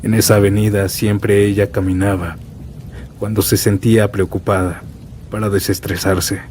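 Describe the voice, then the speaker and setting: A man's voice: lowish voice, moderate pace. A man narrating scripted first-person horror stories in a solemn, dramatic way, as a storyteller. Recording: steady rain and thunder noise bed. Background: rainstorm with thunder.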